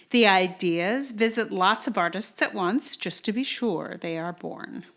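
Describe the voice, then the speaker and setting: A woman's voice: mid-range voice, a bit bright. Wry woman podcaster in her forties. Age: 40s. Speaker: podcast host reading own blog post. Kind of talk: casual intro, then read-aloud personal essay. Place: indoors in a sparsely cushioned house. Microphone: portable travel microphone. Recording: travel mic in slightly echoey house.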